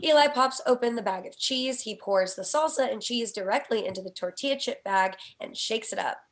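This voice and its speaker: A woman's voice: fairly high pitch, brisk. A woman reading out scene headings and action lines as scripted narration for a screenplay table read. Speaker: stage direction reader.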